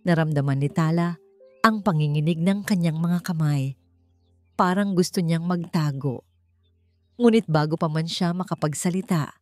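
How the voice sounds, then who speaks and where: Expressive low-voiced woman narrating romance. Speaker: story narrator. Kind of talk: dramatic scripted romance narration.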